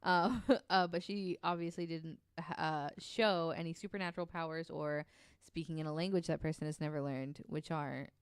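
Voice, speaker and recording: A woman's voice: sits low, steady tone, moderate pace, clear, resonant tone, dry recording. A woman co-hosting a podcast, telling a story casually with many ums and the odd swear word.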